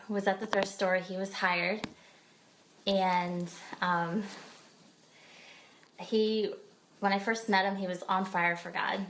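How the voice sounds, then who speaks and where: Low, flat-voiced woman telling her story. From Pennsylvania, United States. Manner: hesitant, many pauses and fillers. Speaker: guest speaker. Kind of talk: personal testimony in a podcast interview.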